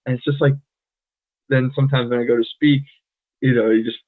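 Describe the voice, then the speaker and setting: A man from the United States co-hosting a casual chat, thoughtful and pausing often with "um". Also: mid-range voice, steady tone. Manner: thoughtful, many "um" fillers. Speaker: podcast co-host. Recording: tinny phone-like line.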